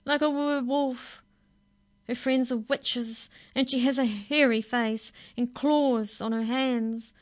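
A woman voicing a frightened little girl who sobs as she pleads, raised well above her own pitch. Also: steady tone, slow pace. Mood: tearful. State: sobbing.

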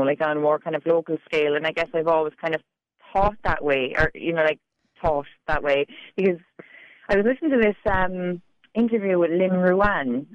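A woman's voice: on the low side, no echo, dull audio. A woman with a Cork Irish accent, a poet talking casually in a radio interview, full of "like" and "you know". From Ireland. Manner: chatty, many "like"s and "you know"s. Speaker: poet guest.

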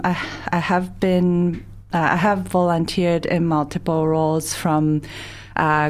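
Female guest, Japanese accent, low-pitched, slow and halting, conversational interview. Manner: hesitant, halting phrasing. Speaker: interview guest, volunteer organisation administrator.

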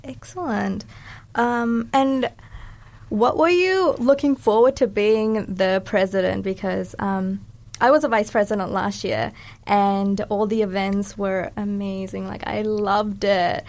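A woman's voice: medium pitch, takes it slowly, a little mellow. A young woman from New Zealand with Sri Lankan roots, hosting a casual interview podcast in an upbeat, informal way. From Sri Lanka, raised in New Zealand from age eight. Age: young adult. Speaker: podcast host.

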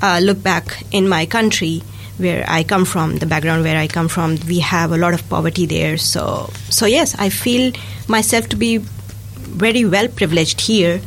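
A woman's voice: lowish voice, steady tone, normal speed, few pauses, a little hiss or hum. A woman guest in a casual radio chat, earnest and easygoing, with many fillers. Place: radio studio.

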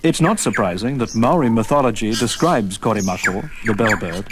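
A man narrates a scripted radio nature piece with a very lively, rising and falling delivery. His pitch sits mid-range, and faint noise is heard behind him. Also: moderate pace.

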